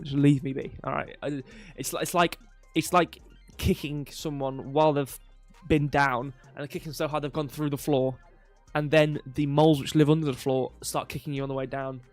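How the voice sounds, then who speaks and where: Nasal man rambling on a livestream. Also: mid-range voice. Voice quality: slightly nasal, stuffy nose. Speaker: crypto livestream host. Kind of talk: casual rambling livestream market commentary. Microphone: close microphone.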